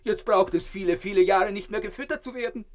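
A man playing a pompous, high-pitched, self-important gentleman. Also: fast, natural voice. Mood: smug, lecturing. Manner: pompous, lecturing, self-satisfied. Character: self-important man swallowed alive by a crocodile, blissfully vain. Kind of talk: theatrical radio play acting.

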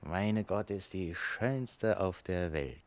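An actor in a German radio play as a sly boatman who fleeces tourists, talking deliberately to himself. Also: low-pitched, noisy background. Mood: scheming.

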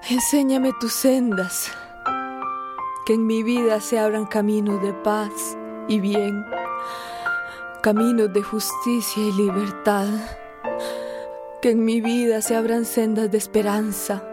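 A woman's voice: mid-range voice, fairly even intonation, slow, long pauses, close to an everyday voice. An actress playing a tender, devout mother, speaking emotionally of her faraway son. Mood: prayerful. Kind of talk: radio drama acting. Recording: music behind the voice.

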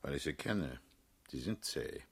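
Radio drama lead: brusque, paternal political boss, world-weary, very deep rough husky voice. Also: male voice, animated, unhurried, a bit bright, full character voice. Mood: wearily brooding. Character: world-weary, commanding male party leader, blunt and sardonic. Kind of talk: German radio drama acting.

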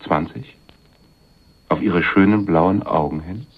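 A shrewd, moneyed man of power with an extremely low voice. He speaks with suave, patronizing calm in a crime radio play, and the recording is slightly muffled. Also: slow pace, breathy edge, full character voice. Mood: hard bargaining. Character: shrewd, wealthy, condescending male power broker in a crime play.

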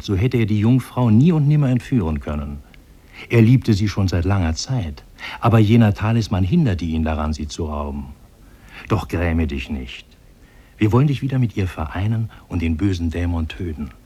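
An actor playing a benevolent demon in human form, speaking solemnly and formally in a 1950s German radio drama. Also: male voice, sits low, dark tone, breathy edge. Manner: solemn, formal, archaic diction.

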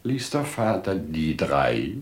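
An older actor playing a wise, humble old merchant in a 1950s German radio drama, with measured, declamatory verse delivery. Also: male voice, rumbling low, big pitch swings, exaggerated voice. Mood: earnest, judicial.

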